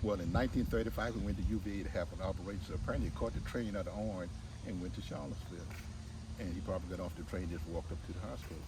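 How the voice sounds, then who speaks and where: An older man shares oral history in a lowish voice. He speaks haltingly, clearing his throat as he goes. He sounds away from the microphone, with loud noise all around. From Virginia, United States. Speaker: interviewee sharing family history. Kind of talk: oral history storytelling in conversation. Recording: very noisy, distant microphone, vehicle-like rumble.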